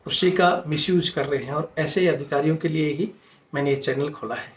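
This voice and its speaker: A man's voice: a little high, fairly quick, a little hiss or hum. A man, a channel host making an earnest, insistent informal appeal to his followers. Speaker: retired soldier speaking as channel host. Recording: echoey room sound.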